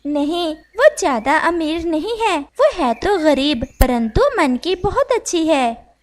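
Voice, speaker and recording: A woman voicing a kind female sparrow who gives polite, gentle advice. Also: high voice, lively intonation, takes it slowly.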